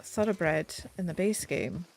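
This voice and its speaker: A woman's voice: fairly low pitch, takes it slowly, darkish tone, slight background noise, rough recording. A woman streaming a game, chatting casually and self-deprecatingly while she plays, her voice slightly nasal. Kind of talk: casual gameplay commentary. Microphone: desk mic set back a little.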